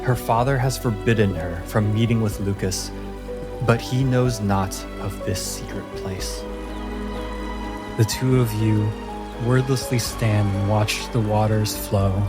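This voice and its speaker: Slow-paced man narrating fantasy over music. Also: fairly low pitch. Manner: deliberate, descriptive storytelling. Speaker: game master. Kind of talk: scripted fantasy narration for a tabletop game. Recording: music under the voice.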